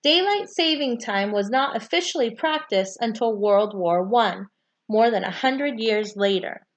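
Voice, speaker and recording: A woman with a high voice reads aloud from a children's nonfiction book. Her delivery is that of read-aloud narration for young listeners. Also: animated, unhurried.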